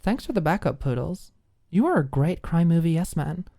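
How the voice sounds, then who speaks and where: A woman's voice: on the low side, lively intonation, moderate pace, dark tone. A woman voicing a cocky, tough college student with a blunt, sardonic, teasing way of talking. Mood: dry teasing.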